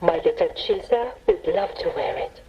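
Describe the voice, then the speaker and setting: A woman's voice: mid-range voice, very animated, normal speed, vocal fry. A woman playing a fond, modest mother interviewed on the radio in a video game, heard through a radio filter. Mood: fond, reflective. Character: gentle, modest mother, fond and soft-spoken. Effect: choppy radio filter. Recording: muffled lo-fi radio with faint noise.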